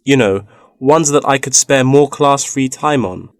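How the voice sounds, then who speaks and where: A man's voice: medium pitch, a little slow, no room sound, studio quality, close to an everyday voice. A man playing a polite, inquiring university student looking for part-time work in a scripted language-test dialogue.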